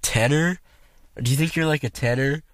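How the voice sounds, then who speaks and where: A man's voice: moderate pace, no room sound. Laid-back young man hosting college radio. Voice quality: higher voice. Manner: laid-back, laughing, filler-heavy. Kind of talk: casual radio banter.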